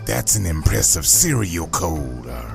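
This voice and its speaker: A man playing a gruff, suspicious robot security chief, curt, officious and sardonic. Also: low voice, big pitch swings, measured pace, music in the background, heavily acted.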